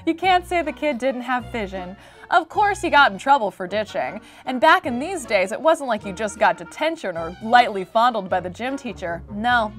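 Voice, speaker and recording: A woman's voice: fairly high pitch, fairly bright tone. A woman in her twenties with an American accent, reading a comedic storybook narration in an irreverent, sardonic, sweary way. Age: 20s. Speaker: host reading stories.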